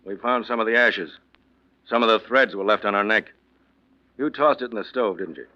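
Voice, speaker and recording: An actor playing a blunt, accusing homicide lieutenant questioning a suspect in a 1940s radio mystery. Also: male voice, fairly low pitch, normal speed, lightly acted. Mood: stern, accusing. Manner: blunt, interrogating. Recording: dull vintage radio recording.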